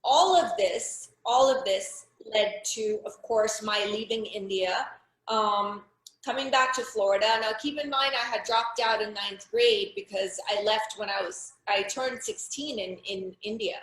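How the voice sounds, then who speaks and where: A woman's voice: mid-range voice, a little slow, fairly bright tone, reverberant, poor audio. A middle-aged woman from Pakistan, speaking frankly and earnestly as an interview guest, often restarting her sentences. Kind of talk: personal testimony in an interview.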